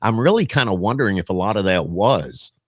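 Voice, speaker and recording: A man's voice: fairly low pitch, big pitch swings, a little fast, no room sound, studio quality. A man hosting a podcast in casual interview chat, with a folksy, rambling way of talking. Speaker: podcast host.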